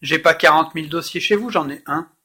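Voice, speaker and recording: Man, fairly high bright voice, exasperated caller, insistent questioning, recorded phone call. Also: a little fast, husky. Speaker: caller, customer complaining.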